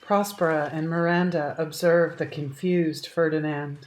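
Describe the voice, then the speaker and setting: A woman's voice: low voice, steady tone, measured pace, a little mellow. A woman narrating an audio drama, reading out scripted stage directions. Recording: echoey room, remote recording.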